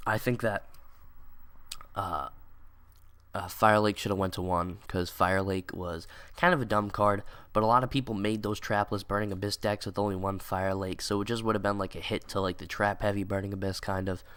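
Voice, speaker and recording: A man's voice: on the low side, steady tone, normal speed, a bit bright, smooth. A young man with a stuffy nose from a cold, giving rambling casual commentary with lots of "like" and "um". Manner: rambling, many "like" and "um" fillers. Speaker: card game video creator.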